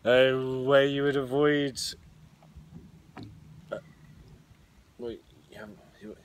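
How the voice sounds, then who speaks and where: Slow, hesitant young man. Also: medium pitch, steady tone. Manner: hesitant, searching for words. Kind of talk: casual group discussion. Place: outdoors at a garden table.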